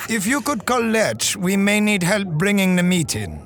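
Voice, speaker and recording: An actor playing a cautious, sharp-tongued companion in an audio drama, with a dry, needling manner. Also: male voice, sits high, sing-song, normal speed, loud music behind the voice. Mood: shrewd. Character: wary, sharp-tongued male companion of a monster hunter.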